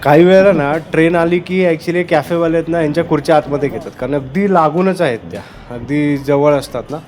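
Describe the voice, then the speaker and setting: A man's voice: on the high side, brisk, a little mellow. Chatty man narrating a travel vlog. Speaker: travel vlogger.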